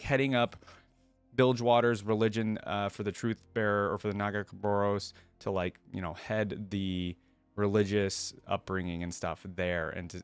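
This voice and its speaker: A man's voice: on the low side, no echo. A man hosting a reaction video, reading a story aloud and adding casual, conversational commentary.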